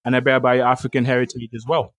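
A man's voice: mid-range voice, flat delivery, racing, no room sound. A man hosting a podcast, talking in an upbeat, playful, hype style. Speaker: podcast host. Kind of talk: podcast interview.

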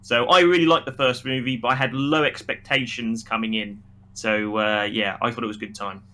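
A man's voice: medium pitch, fairly even intonation, a little fast, pushed and bright. A man co-hosting a casual film review podcast, giving his opinions emphatically and repeating phrases for stress.

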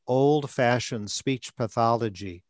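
A man's voice: medium pitch, slow pace, no echo, clean studio sound. A man hosting a podcast as a stuttering coach, talking in short phrases with an occasional stutter block. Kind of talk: conversational coaching talk.

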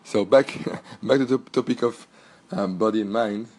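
A middle-aged man with a French accent, hoarse from a cold, hosting a solo podcast in an earnest, motivational way. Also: lowish voice, normal speed, a little mellow. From Belgium. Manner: earnest, repetitive and motivational, with restarts. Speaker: podcast host.

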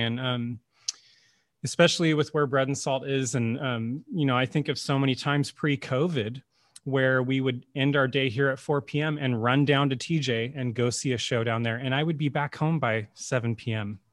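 A man in his forties, a curator, interviewing an artist in a relaxed, chatty way. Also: medium pitch, normal speed, no echo, clean studio sound. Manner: relaxed, chatty, uses "kinda" and "you know". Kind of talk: casual conversational interview.

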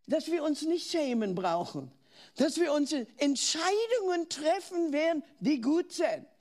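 Older German woman preacher, earnest and questioning, high-pitched, unhurried delivery. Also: big pitch swings, darkish tone. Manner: earnest, asks the listener questions, restarts words. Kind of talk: sermon.

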